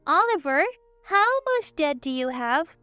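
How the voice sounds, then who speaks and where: A woman's voice: high-pitched, big pitch swings, a little slow, bright, forceful tone, no room sound, faint music behind, pitched above the performer's own voice, full character voice. A woman voicing a dramatic, demanding older mother-in-law in a narrated family story. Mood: demanding, alarmed.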